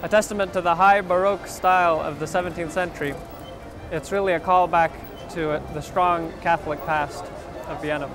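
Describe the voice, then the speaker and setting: A man's voice: a little high, fairly even intonation, fairly quick, music in the background. A young man from the United States, a history student, speaking articulately and with enthusiasm.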